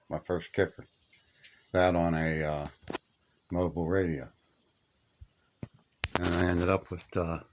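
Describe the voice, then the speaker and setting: Older man with a low, folksy voice. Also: slight background noise. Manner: plainspoken, folksy grammar. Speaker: radio hobbyist showing his collection. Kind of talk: casual chat, reminiscing. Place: small upstairs room being stripped to studs.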